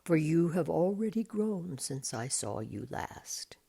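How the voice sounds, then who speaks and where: Storybook ancient tree giant, male, played by a woman: low, creaky, solemn and drawn-out, sad yet fond. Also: big pitch swings, dark tone, heavily acted. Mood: fond, gentle. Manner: slow, solemn, drawn-out speech.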